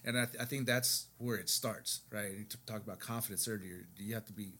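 A man's voice: fairly low pitch, fairly quick, bright, forceful tone, slightly rough. An American man hosting a casual interview, speaking in an encouraging, conversational way and often checking in with short questions. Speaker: podcast host.

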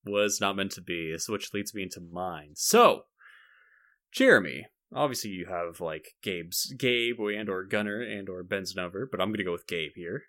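A man's voice: low voice, animated, moderate pace, pushed and bright, no room sound, clean studio sound. A man co-hosting a podcast, talking in a casual, comedic, conversational style. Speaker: podcast co-host.

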